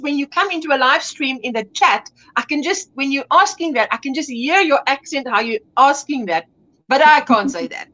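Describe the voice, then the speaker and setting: A woman's voice: high voice, bright, forceful tone, rough recording. A woman with an Afrikaans accent, a native Afrikaans speaker, chatting as a guest on a livestream and often searching for the right English word. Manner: chatty, searches for words and restarts. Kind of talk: casual livestream chat.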